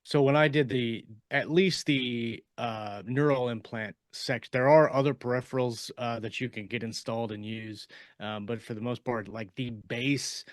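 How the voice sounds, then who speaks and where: A man talking casually on a podcast, explaining in a halting way and often rephrasing as he goes. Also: medium pitch, fairly even intonation, no room sound, clean studio sound. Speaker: podcast panelist and fiction writer.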